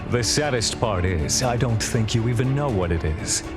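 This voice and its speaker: An actor playing a stern, dignified admiral with a gravelly voice and formal, cutting delivery in a video game cutscene. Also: male voice, medium pitch, animated, normal speed, close to an everyday voice. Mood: cold contempt. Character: stern, dignified male navy admiral, coldly disapproving. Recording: music under the voice.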